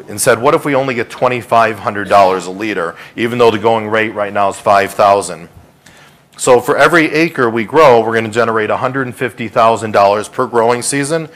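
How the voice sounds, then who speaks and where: A middle-aged man with a fairly low voice pitches his company to investors. He talks informally, like a conversational salesman, and often rewords his points as he goes. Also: a bit bright. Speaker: company executive giving an investor presentation. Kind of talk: informal business pitch to an audience. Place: hotel conference room with seated audience.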